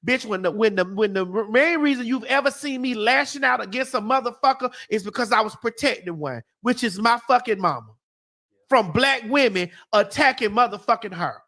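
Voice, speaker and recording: A talk-show host ranting in heated, profane, emphatic casual talk. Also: female voice, a little high, expressive, brisk, a bit bright.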